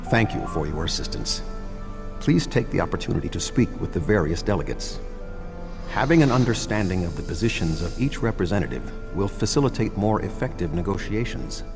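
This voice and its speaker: An actor playing a composed senior officer in a video game, speaking in a measured, formal way. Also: male voice, low-pitched, lively intonation. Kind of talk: scripted video game dialogue. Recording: long hall reverb, clear music behind.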